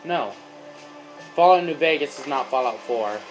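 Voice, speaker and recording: Teen guy narrating his game, bouncy pitch, yelps a lot, phone-recorded in a dark room. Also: normal speed, over music, low-quality recording. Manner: excitable, yelps when in danger. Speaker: let's play host. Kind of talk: casual gameplay commentary. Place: dim room in front of a screen.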